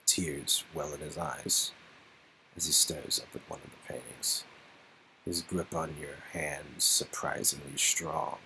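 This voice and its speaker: A man's voice: very deep voice, steady tone, slow pace, vocal fry, bright, forceful tone, a little hiss or hum, rough recording. A man co-hosting a read-aloud of a story game, moving between scripted narration and casual reaction chat. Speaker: channel co-host, performer.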